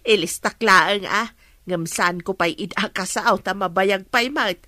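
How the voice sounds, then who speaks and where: A woman's voice: mid-range voice, slow pace, pushed and bright, no room sound, clean studio sound. A woman playing an affectionate, fretful elderly mother in a radio drama, speaking with a Filipino Ilocano accent. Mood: doting, hesitant.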